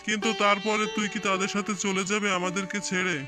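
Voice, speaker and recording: A devoted fox dad from a cartoon, acted by a man, speaks in a high, emotive voice. He sounds affectionate and pleading, with music playing underneath. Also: little pitch movement, fast, close to an everyday voice. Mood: tender, pleading. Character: tender, devoted fox father, anxious to please. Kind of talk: cartoon voice acting.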